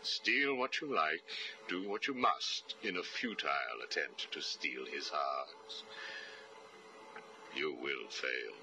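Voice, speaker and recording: A very deep, coldly menacing villain. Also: male voice, exaggerated voice, low-quality recording. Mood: cold, smug. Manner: measured, clinical, condescending. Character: cold, arrogant, menacing psychiatrist villain, older man. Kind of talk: video game voice acting.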